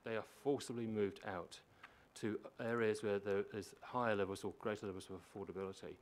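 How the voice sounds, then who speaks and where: Man, low voice, public submission, explanatory, emphatic repetition, slight background noise. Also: steady tone, fairly quick. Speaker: housing organisation manager presenting to a council. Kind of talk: prepared public submission speech. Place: council meeting chamber. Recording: meeting room with faint background noise.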